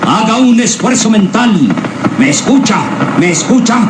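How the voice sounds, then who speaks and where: Radio drama role: insistent male general, high voice, echoey and very noisy. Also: animated, normal speed. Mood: urgent, commanding. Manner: insistent, emphatic, repeating orders. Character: urgent commanding male general at mission control. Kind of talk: Spanish-language radio serial acting.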